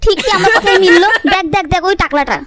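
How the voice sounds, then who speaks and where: A woman's voice: very high-pitched, fast talker, a bit bright, no echo, clean studio sound. A woman voicing a jealous, sly young friend in a cartoon, sarcastic and colloquial in her talk. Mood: gleeful mocking. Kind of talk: cartoon voice acting.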